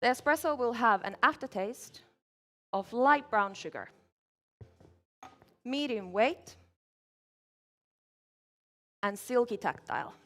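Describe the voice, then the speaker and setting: A woman from Finland, giving a measured competition presentation as a barista at a live event. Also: on the high side, lively intonation, fairly bright tone. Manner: measured, clear presenting while working.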